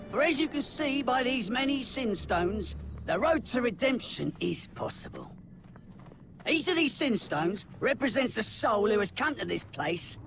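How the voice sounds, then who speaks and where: A man's voice: very high-pitched, vocal fry, full character voice. A man voicing a chatty, irreverent servant creature in a video game, theatrical and cheeky like a folksy guide. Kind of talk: video game cutscene acting. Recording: music behind the voice.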